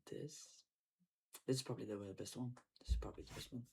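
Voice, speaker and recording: A man's voice: medium pitch, fast talker, vocal fry, darkish tone, slight background noise. A man giving casual reaction commentary in loose, informal, repetitive remarks. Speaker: reaction video creator.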